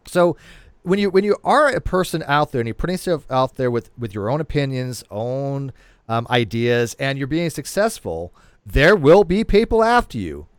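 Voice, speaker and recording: A man's voice: mid-range voice, sing-song, moderate pace, clear, resonant tone, dry recording. A man in his late forties hosts a loose livestream conversation. His voice rises and falls a lot, and he rambles and restarts his sentences. The sound is dry and close, with no room around it. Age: 40s. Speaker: host. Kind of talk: casual livestream chat.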